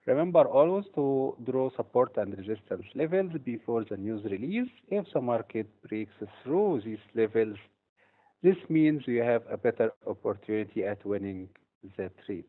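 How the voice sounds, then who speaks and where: A man from Egypt teaches a trading webinar in a measured, explanatory way, pausing now and then with a hesitant "uh." His voice sits at an ordinary middle pitch. The audio is narrow and tinny, like a call over a phone line. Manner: steady, explanatory delivery with occasional hesitations. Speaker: trading instructor. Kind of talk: webinar presentation.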